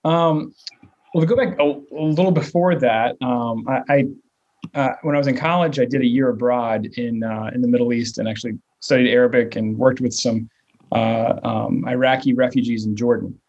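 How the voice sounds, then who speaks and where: Halting, hesitant man in his thirties. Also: medium pitch, fairly even intonation, a little mellow, lo-fi sound. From Virginia / West Virginia area. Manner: hesitant, many fillers and restarts. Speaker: interviewee, nonprofit leader. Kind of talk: casual interview answers.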